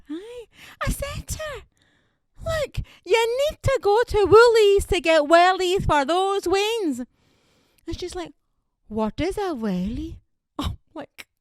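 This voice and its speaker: A nosy, blunt Glaswegian gossip, as a woman puts her on, her broad Scots accent swooping high and low. Her voice sits bright and high. The sound is close and dry. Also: no room sound. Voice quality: broad dialect, sharp exaggerated delivery. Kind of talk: stand-up character bit.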